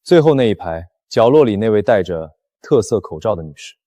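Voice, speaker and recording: A man playing a cold, commanding company director in an animated drama, speaking formally with cutting, dry mockery. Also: on the low side, a little fast, dry recording. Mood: cool, testing. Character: cold, commanding, dryly mocking male boss.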